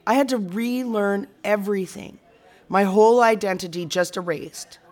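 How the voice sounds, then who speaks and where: A woman's voice: mid-range voice, moderate pace, a little mellow, soft background music, lightly acted. A woman playing a reflective, frustrated teacher in a naturalistic audio drama conversation. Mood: reflective, earnest. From Canada.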